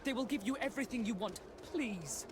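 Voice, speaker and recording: A high-pitched, well-born young gentleman. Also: brisk, background noise. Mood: terrified pleading. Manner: pleading, polite. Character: pleading rich young merchant's debtor, frightened gentleman. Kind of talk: video game voice acting.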